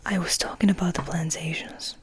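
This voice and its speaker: A woman reading as a composed, wistful male vampire in a soft, confiding, breathy whisper for an ASMR audiobook. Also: fairly low pitch, steady tone, a little fast, lightly acted. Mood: composed, reminiscing. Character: gentle, composed, wistful male vampire telling his story.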